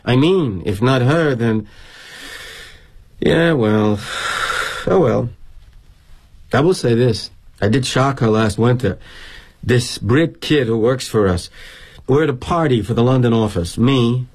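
A husky, wry corporate executive. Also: male voice, mid-range voice, takes it slowly, long pauses, a little mellow. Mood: rueful, wry. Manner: arch, wry, mannered phrasing. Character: jaded middle-aged corporate executive, darkly wry, self-justifying. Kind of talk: dramatic monologue, theatrical storytelling.

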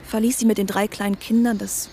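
A woman's voice: mid-range voice, little pitch movement, fairly quick, warm tone, a little hiss or hum, natural voice. An actress playing a self-willed, educated, outspoken young woman in a conversational radio drama. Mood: admiring, animated. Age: young adult.

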